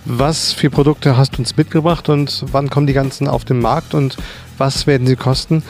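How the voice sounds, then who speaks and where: A male reporter with a noticeably creaky, mid-pitched voice presents and asks interview questions. Light music sits underneath his voice. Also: steady tone, normal speed, darkish tone. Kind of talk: scripted narration and interview questions.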